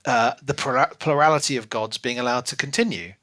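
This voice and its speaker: A man's voice: medium pitch, brisk, a bit bright. A man co-hosting a podcast, talking informally and casually as he discusses a book. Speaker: podcast co-host.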